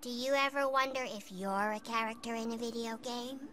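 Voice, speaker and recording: A woman's voice: on the high side, fairly even intonation, normal speed, natural voice. A woman voicing an earnest, articulate, idealistic little girl in a cartoon video game.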